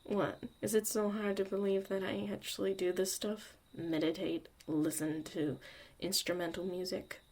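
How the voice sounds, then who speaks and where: A woman acting a gruff, guarded but secretly caring young mage mentor in a zombie apocalypse ASMR roleplay, soft-spoken and often near a whisper. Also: mid-range voice, flat delivery, normal speed, hardly any pauses, close to an everyday voice. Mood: defensive, softening.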